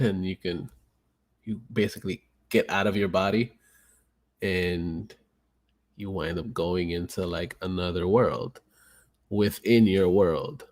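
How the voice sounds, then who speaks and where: A man's voice: deep voice, a little mellow. A man hosting a casual podcast, talking loosely with lots of fillers and swearing. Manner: loose, profane, filler-heavy. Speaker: podcast host.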